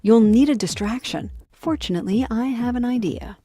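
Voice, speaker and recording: A woman's voice: fairly high pitch, normal speed, mellow, breathy edge. A woman playing a frank, resourceful vampire ally in a video game. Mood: resigned, practical. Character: exasperated, resourceful female vampire ally of the hero. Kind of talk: video game cutscene dialogue.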